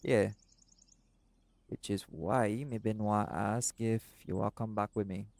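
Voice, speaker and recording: Man voicing a seagull shifter boyfriend, doting and soft-spoken, mellow tone, gravelly edge. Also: on the low side, steady tone, a little slow, no room sound. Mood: affectionate. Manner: soft-spoken, affectionate, creole-inflected speech. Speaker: audio roleplay performer. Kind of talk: intimate audio roleplay.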